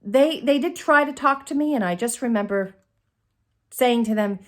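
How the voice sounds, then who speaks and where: A middle-aged woman telling a personal comic story to camera in a theatrical, expressive way with dramatic pauses. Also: a little high. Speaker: storyteller, channel host. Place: indoors, small curtained room. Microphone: phone at arm's length.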